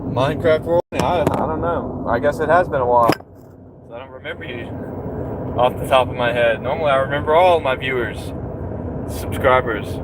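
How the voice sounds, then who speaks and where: A man's voice: medium pitch, normal speed. A man from Florida, casually chatting with his viewers while driving, answering their questions in a rambling, easygoing way. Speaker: truck driver live-streaming to viewers. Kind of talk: casual live-stream chat while driving. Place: inside a vehicle on the road. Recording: noisy road sound from a vehicle.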